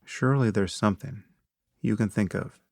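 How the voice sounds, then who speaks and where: A man with a fairly low voice and a noticeable creak leads a guided meditation. He gives measured instructions in short phrases, leaving long pauses between them. The sound is dry and close, with little room around it. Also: darkish tone, dry recording.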